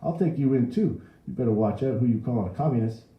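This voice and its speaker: A man's voice: on the low side, quick pace, dull audio, pitched above the performer's own voice, close to an everyday voice. A man voicing an officious, brusque policeman who makes demands with shaky authority. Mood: threatening. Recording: roomy echo.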